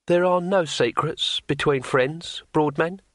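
A man doing a quiet, menacing thief master. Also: mid-range voice, animated, no echo, studio quality. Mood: quietly menacing. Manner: quiet, level, slow.

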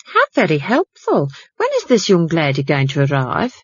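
A woman's voice: low-pitched, wildly swooping pitch, normal speed, breathy edge, no room sound, dull audio, exaggerated voice. A woman voicing a fussy, gossipy middle-aged housekeeper who talks in an eager, chatty way.

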